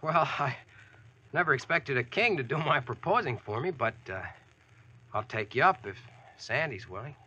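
A man's voice: mid-range voice, lively intonation, normal speed, bright, forceful tone, husky, highs cut off, heavily acted. An actor playing a plainspoken young American man who tells his adventure in colloquial, earnest old radio style. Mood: relieved, bashful. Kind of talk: 1940s radio drama first-person narration.

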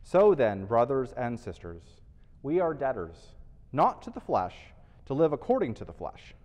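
Male priest, middle-aged, lively emphatic reading, dull sound, church reverb. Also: medium pitch, unhurried. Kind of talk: scripture reading. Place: small church chancel, indoors. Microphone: lapel mic, face mask.

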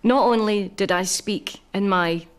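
A woman's voice: mid-range voice, measured pace, smooth. A comedian with a Glaswegian accent tells stories in a wry, dry way. Her voice sits in an ordinary middle range for a woman. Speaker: comedian interviewee. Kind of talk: casual anecdotal chat.

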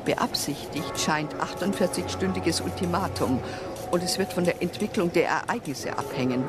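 An actor playing a state official who reads out a terse telegram in a formal, businesslike way for a radio play. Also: male voice, a little high, close to an everyday voice. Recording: loud music bed under the voice.

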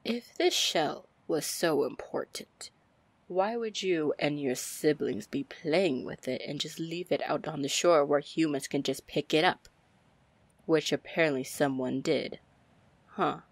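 A woman playing a confident, commanding, flirty female pirate captain in an ASMR fantasy roleplay, breathy and deliberate. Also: no room sound. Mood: wry, probing. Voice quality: breathy, low, husky. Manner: slow, deliberate, smooth-talking. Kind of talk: ASMR roleplay acting, fantasy audio drama.

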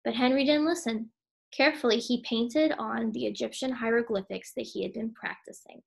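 Young female storybook reader, higher-pitched with creaky fry, emphatic delivery. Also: fairly even intonation, moderate pace. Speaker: teacher reading aloud. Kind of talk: storybook read-aloud.

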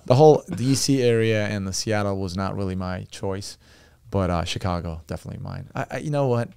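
A man in his thirties from Turkey with a Turkish accent, a podcast guest telling his story in relaxed, chatty fashion at a studio boom mic. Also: fairly quick, creaky, clear, resonant tone, dry recording. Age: 30s. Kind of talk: casual interview chat. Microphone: studio boom mic with headphones.

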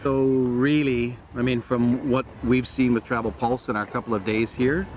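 A man's voice: mid-range voice, level tone, moderate pace, a little mellow. A man in his forties hosting a casual travel interview outdoors on a beach, talking in a chatty, joking way with many restarts. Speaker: travel news host. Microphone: lapel mic. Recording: outdoor beach noise behind.

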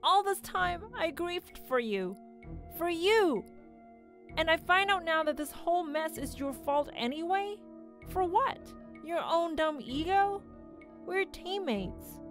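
A woman's voice: very high voice, expressive, slow, soft background music, exaggerated voice. An actress playing a betrayed young woman robot golfer in an anime-style game, intense and accusing. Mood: hurt, angry.

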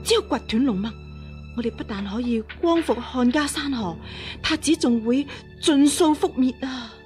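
Woman acting impostor empress dowager, high voice, deferential, pleading, slippery, radio drama, faint music behind. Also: normal speed, darkish tone, natural voice. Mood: nervous, deferential.

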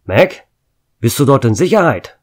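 An actor playing a chatty, joking small-town radio DJ in a radio drama. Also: male voice, mid-range voice, very animated, unhurried, husky. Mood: urgent. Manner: chatty, jokey. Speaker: radio host.

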